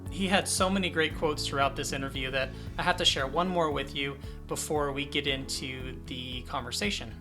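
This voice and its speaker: A man's voice: medium pitch, fairly even intonation, normal speed, hardly any pauses, music in the background. A man in his thirties hosting a conversational interview podcast and introducing his guest. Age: 30s. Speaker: podcast host.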